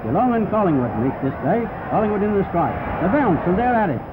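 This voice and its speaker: Rapid high-pitched man, noisy vintage newsreel. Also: big pitch swings, a loud crowd. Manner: florid, dramatic, punchy. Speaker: newsreel sports narrator. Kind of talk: 1930s newsreel sports commentary. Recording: very noisy, tinny 1930s newsreel soundtrack.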